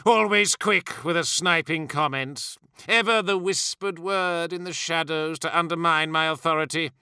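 Imperious, wrathful superhuman warlord, pitched high. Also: male voice, normal speed, pushed and bright, clean tone, no room sound, clean studio sound. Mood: menacing scorn. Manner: theatrical, spitting, snarling outbursts. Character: imperious, vain, wrathful superhuman warlord, swinging to wounded misery. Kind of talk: dramatic audiobook character voice.

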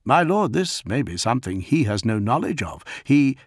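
A man's voice: medium pitch, sing-song, normal speed, no echo, studio quality, pitched above the performer's own voice. A man voicing a respectful, dutiful military officer in an audiobook, clipped, formal and urgent. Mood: cautious. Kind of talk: dramatic audiobook character.

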